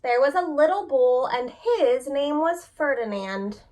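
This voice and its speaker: High-voiced, animated woman reading to children. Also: a little slow. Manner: expressive, talks directly to young listeners with questions. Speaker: preschool teacher reading aloud. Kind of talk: animated picture-book read-aloud for young children.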